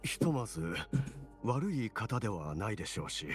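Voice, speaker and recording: A man's voice: fairly low pitch, big pitch swings, fast. An actor playing a courteous, devout townsman in a Japanese video game, speaking formally and earnestly over music. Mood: calm, devout. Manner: polite, formal.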